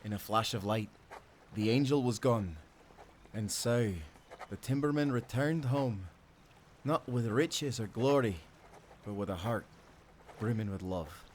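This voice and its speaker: A man's voice: fairly low pitch, unhurried, pauses often, lightly acted. Man acting tender Scottish sailor husband, ambient noise. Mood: tender narration. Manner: tender, playful, chuckling. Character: romantic Scottish sailor husband, affectionate storyteller. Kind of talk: intimate roleplay storytelling.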